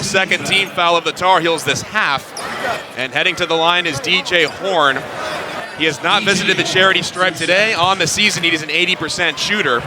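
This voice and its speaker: A young man doing radio play-by-play of a basketball game, quick and building in excitement on big plays. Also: sits high. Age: young adult. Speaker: play-by-play announcer. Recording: loud arena noise behind.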